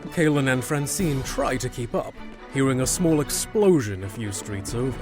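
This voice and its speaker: A man's voice: mid-range voice, animated, moderate pace. A man narrating a scripted fantasy audio drama, with music underneath.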